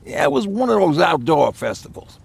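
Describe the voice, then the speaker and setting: Game role: haunted yet easygoing older male survivor, husky and lively in pitch, chatty storyteller. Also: a little fast, exaggerated voice. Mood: wistful. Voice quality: gravelly, raspy. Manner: folksy, lighthearted, chatty. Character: folksy aging male survivor, lighthearted but haunted. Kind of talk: game voice acting, reminiscing dialogue.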